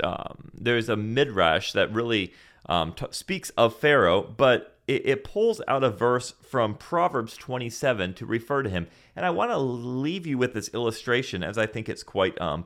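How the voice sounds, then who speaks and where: A man's voice: mid-range voice, fairly bright tone. A man who grew up in Senegal, teaching a Bible podcast in an earnest preaching manner with rhetorical questions.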